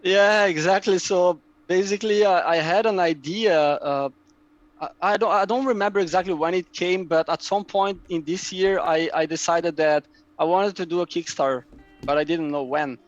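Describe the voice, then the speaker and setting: A man's voice: high-pitched, normal speed, highs cut off, soft background music. A man in his thirties from Brazil with a Brazilian accent and Portuguese as his first language, a guest in a casual chat who often repeats words as he searches for them. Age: 30s. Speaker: guest, map publisher.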